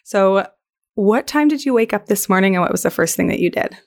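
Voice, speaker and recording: A woman's voice: mid-range voice, expressive, brisk, gravelly. A woman in her thirties hosting a podcast, with a scratchy voice from laryngitis, chatting and laughing often. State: hoarse from laryngitis. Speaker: podcast host. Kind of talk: casual chat.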